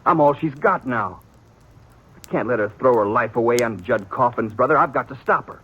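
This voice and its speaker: An actor playing a stern, protective brother on the frontier, speaking in earnest, insistent rustic speech in a 1950s radio western. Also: male voice, medium pitch, lively intonation, normal speed, muffled recording, a little hiss or hum, lightly acted. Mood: worried, grim.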